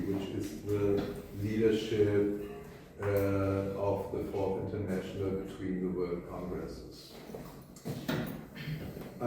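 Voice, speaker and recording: A man's voice: medium pitch, dark tone, slight background noise. A man giving an informal workshop introduction, hesitant with many fillers. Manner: hesitant, many ums and uhs. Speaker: workshop speaker. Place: workshop room. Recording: echoey room from a distance.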